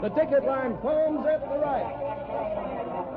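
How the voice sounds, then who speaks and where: A man's voice: very high-pitched, slow, over a noisy crowd. A man playing a theatrical sideshow barker and announcer in old-time radio style. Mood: showy hype. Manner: theatrical, showy. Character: booming circus sideshow barker and show announcer. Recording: tinny old radio recording, loud music.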